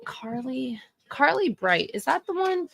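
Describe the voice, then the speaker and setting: A woman's voice: high-pitched, very animated, a little slow, creaky, fairly bright tone, dry recording. A woman in her thirties with a Texan accent, giving chatty, opinionated live-stream commentary and often correcting herself. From Texas. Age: 30s. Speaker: streamer reviewing wrestling fashion.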